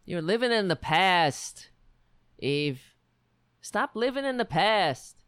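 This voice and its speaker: A woman hosting a political livestream with a worn, raspy voice, talking bluntly and sarcastically while reading the chat. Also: fairly low pitch, lively intonation, takes it slowly, a bit bright, dry recording, clean studio sound. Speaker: livestream show host.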